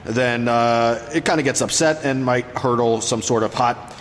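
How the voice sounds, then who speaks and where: A self-important Egyptian sun god played by a man, talking casually in an improv comedy skit. His voice sits at a middle pitch and he fumbles as he improvises. The sound is reverberant and faintly noisy. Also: moderate pace, lightly acted. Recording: noisy, reverberant room recording.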